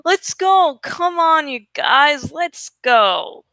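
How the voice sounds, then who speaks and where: A woman voicing a playful, excitable girl turned dolphin in a story reading, with eager, childlike pleading. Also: sits high, extremely slow, no echo, voice pushed up, exaggerated voice. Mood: excited, urging.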